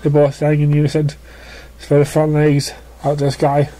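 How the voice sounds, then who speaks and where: A man reading scripted story narration, somewhat haltingly, stumbling over words now and then. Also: a little high, monotone, warm tone, a little hiss or hum. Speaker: story reader.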